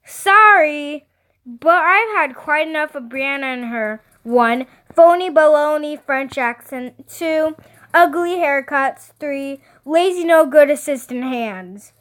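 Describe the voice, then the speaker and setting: A woman as high-voiced, exasperated big sister. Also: lively intonation, slow pace, fairly bright tone, exaggerated voice. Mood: furious. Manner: melodramatic, indignant.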